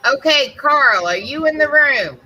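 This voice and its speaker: A woman hosting a casual live stream video chat, chatty and quick to exclaim. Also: high-pitched, animated, sharp tone, poor audio.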